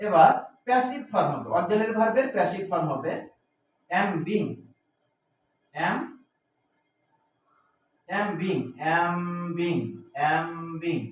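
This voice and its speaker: A man's voice: sits high, lively intonation, slow, long pauses. A man with a Bengali accent teaching grammar in a didactic, classroom style, repeating phrases, spelling words out and answering his own questions. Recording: echoey room, distant mic, faint noise.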